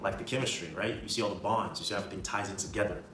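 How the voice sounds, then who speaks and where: A man speaks in a roomy, echoing space, some way from the mic, with music behind him. His voice sits on the low side and moves quickly. He talks like a casual self-improvement vlogger, emphatic and slangy, circling back to drive his points home. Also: fairly even intonation, far from the mic. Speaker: video creator giving self-improvement advice. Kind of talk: casual motivational talk to camera.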